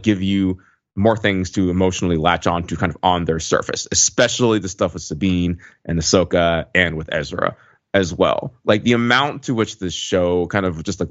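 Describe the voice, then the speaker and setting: A man with a low voice talks as a podcast co-host, picking through his thoughts with plenty of filler words. The sound is dry and slightly dull, and his style is casual and analytical. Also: no room sound. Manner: hedging, filler-heavy phrasing with frequent "like" and "right". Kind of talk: casual analytical pop culture discussion.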